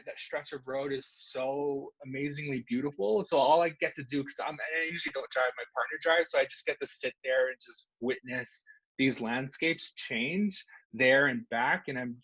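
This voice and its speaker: A man poet calmly reflecting in an online reading Q&A over a video call. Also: medium pitch, breathy edge, no room sound, low-quality recording. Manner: calm, reflective, with small restarts. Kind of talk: casual answers in an online reading Q&A.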